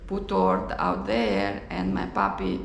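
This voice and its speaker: A woman from Romania with a Romanian accent tells a personal story in a halting, reflective way, recorded on an action camera in a roomy space. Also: on the low side, very animated, vocal fry. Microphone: GoPro camera. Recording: roomy echo, faint background noise.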